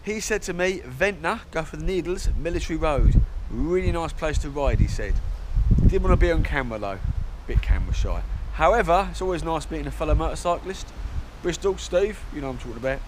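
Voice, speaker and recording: Down-to-earth man in his forties doing a casual travel vlog, voice on the high side, outdoor camera audio with noise behind. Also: lively intonation, a little fast. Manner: chatty, blokey, down-to-earth. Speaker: motorcycle vlogger. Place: outdoors, hotel grounds and ferry deck. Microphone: action camera held at arm's length.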